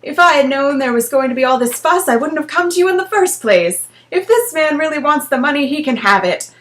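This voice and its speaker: Fussy, high-voiced little old lady, read by a woman. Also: lively intonation, brisk, a bit bright. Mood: exasperated.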